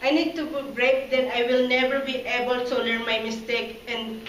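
A young woman speaking English as a second language gives a prepared personal speech to a class. She reads from a paper, careful and halting, often restarting her phrases. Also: on the high side, flat delivery, a little hiss or hum. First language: not English. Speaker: student giving a class speech. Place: classroom with whiteboard and timer screen. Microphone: far camera. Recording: roomy classroom from far off.

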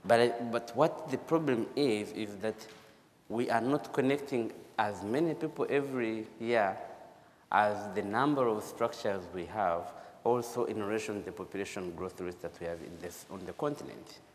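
A man's voice: lowish voice, normal speed. A man with a Ugandan accent giving a formal conference presentation, repeating words for emphasis. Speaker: conference speaker, energy specialist. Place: large auditorium stage. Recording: echoey hall, noticeable background noise.

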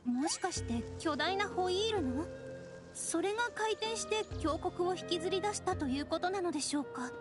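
Gentle anime game princess type, really high voice, formal and polite, faint music under it. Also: female voice, lively intonation, moderate pace. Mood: polite, inquiring. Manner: soft-spoken, courteous, formal. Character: gentle, polite, thoughtful young noblewoman. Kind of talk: Japanese anime game voice acting.

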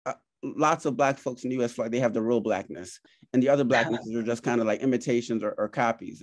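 A man's voice: mid-range voice, darkish tone, no echo. A middle-aged man from Jamaica, moderating an academic talk over a video call, thoughtful and full of fillers. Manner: thoughtful, many fillers and hedges. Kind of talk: academic conversation. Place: home office.

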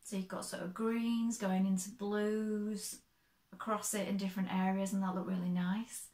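Chatty, slightly croaky woman sewing vlogger. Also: mid-range voice, little pitch movement, moderate pace, a little mellow, echoey room. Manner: chatty, explains step by step. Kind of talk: casual show-and-tell. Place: bright sewing room, indoors.

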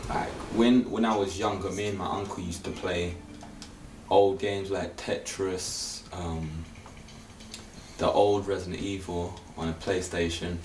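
A young English man talks in an unhurried, reflective way about his family. His voice sits very low for his age. A light hiss sits under the recording. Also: fairly even intonation, darkish tone. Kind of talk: casual documentary interview.

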